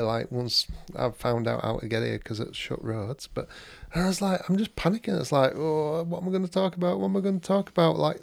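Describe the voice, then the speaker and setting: A man's voice: on the high side, gravelly. A man co-hosting a podcast, talking in a nervous, rambling way full of fillers. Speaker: podcast co-host. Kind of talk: casual chat. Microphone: desk microphone.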